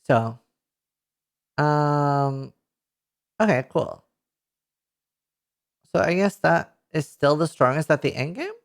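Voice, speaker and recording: A man in his thirties with a Norwegian accent reads patch notes aloud to a live chat, reacting with excited outbursts and casual swearing, into a headset mic. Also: fairly high pitch, takes it slowly, creaky, long pauses. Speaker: streamer. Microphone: headset mic at a desk.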